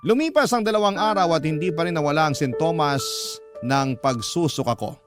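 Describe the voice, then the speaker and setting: A Filipino man narrates a letter as a radio storyteller, in a slightly high register for a male voice. The delivery is scripted first-person storytelling. Loud music plays all through it. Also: lively intonation, fairly quick.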